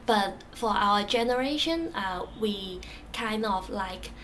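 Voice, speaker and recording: A woman's voice: mid-range voice, expressive, slow, a bit bright. A woman from Hong Kong, a native Cantonese speaker, answering interview questions in English with a Hong Kong accent, hesitant, with frequent fillers and restarts. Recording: distant mic with faint background noise.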